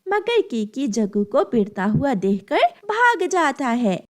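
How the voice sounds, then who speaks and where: A woman's voice: high voice, big pitch swings, takes it slowly. A woman narrating a scripted children's story as the narrator.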